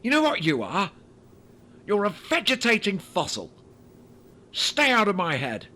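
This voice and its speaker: A man's voice: high-pitched, huge pitch swings, measured pace, bright, forceful tone, full character voice. A man with a Northern English accent voicing a pompous, sarcastic middle-aged man in a comedy dub. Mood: sneering.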